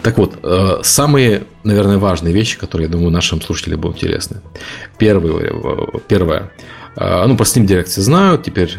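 A man from Ukraine co-hosts a podcast in casual chat, explaining things in long, rapid run-on stretches. His voice sits very low and has a gravelly fry to it. Faint music plays behind him. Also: a little mellow. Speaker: podcast co-host.

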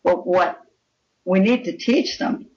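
A woman's voice: on the low side, takes it slowly, darkish tone. An older woman speaking as an interview guest, giving opinionated, emphatic answers over slightly muffled, distant audio. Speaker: guest writer and anthology editor. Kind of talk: interview conversation.

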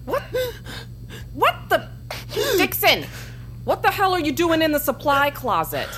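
A woman's voice: high-pitched, wildly swooping pitch, measured pace, a bit bright, slight background noise, full character voice. A woman with an American accent playing a harsh, sarcastic army drill sergeant who barks in an audio drama. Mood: exasperated, sarcastic. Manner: barking, sarcastic.

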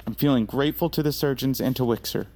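An actor playing a nervous, apologetic agent under interrogation in an audio drama, stammering and deferential. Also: male voice, mid-range voice, fast talker, darkish tone, close to an everyday voice.

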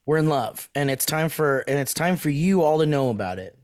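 Male co-host, playful, laughs often, bouncy higher voice, casual stream. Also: lively intonation, fairly quick, a little mellow. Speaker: podcast co-host. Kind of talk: casual livestream chat. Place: home in Los Angeles valley.

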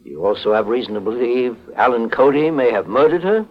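An actor playing a calm, probing, authoritative private investigator in a 1940s radio drama, measured, formal and stern. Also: male voice, medium pitch, a little hiss or hum, natural voice. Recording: dull old 1940s radio broadcast.

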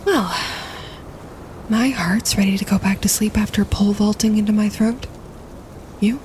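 A woman's voice: mid-range voice, moderate pace, darkish tone, lightly acted. Audio drama: woman playing anxious, insistent female nature photographer, natural delivery, noisy outdoor ambience. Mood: relieved, wry.

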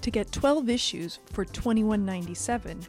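A woman's voice: medium pitch, animated, normal speed, a little mellow, soft background music. A woman hosting a podcast, speaking as a presenter in the manner of show sign-offs and credits. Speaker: podcast host.

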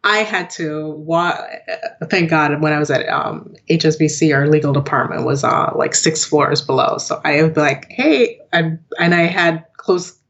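A woman's voice: low voice, moderate pace, a bit bright, dull audio. A woman in her thirties, born in Jamaica and raised in western New York, chatting as a guest about her work, laughing often and acting out what others say. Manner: chatty, laughs often, acts out quoted voices. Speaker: guest, digital product owner. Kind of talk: casual interview chat.

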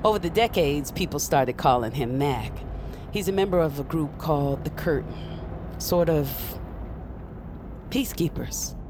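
An actress playing a brisk, wry woman who guides a magical novice, speaking casually in clipped phrases in an audio drama. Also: low voice, lively intonation, noisy background. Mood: matter-of-fact. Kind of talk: naturalistic audio fiction acting.

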